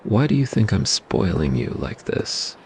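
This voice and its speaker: A man's voice: fairly low pitch, big pitch swings, measured pace, background noise, pitched above the performer's own voice. A man voicing a cocky, possessive young mafia boss in an ASMR roleplay, smug and teasing. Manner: smug, teasing, turns flustered.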